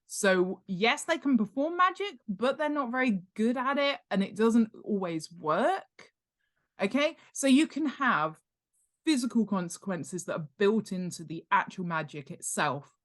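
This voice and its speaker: A woman talking casually as an expert podcast guest, explaining ideas informally and emphatically, repeating points and posing rhetorical questions. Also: on the low side. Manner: animated, emphatic, repeats key points for stress; informal, uses rhetorical questions and acted-out examples. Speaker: podcast guest, author and world-building expert. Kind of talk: casual instructional interview chat.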